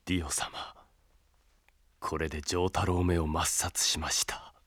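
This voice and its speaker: An actor playing a cold, arrogant young villain in a Japanese anime audio drama, theatrical and condescending. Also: male voice, low voice, level tone, measured pace, fairly bright tone, no echo, studio quality. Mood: gloating, sneering. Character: cold, arrogant young male villain serving an evil master. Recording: dramatic music behind the voice.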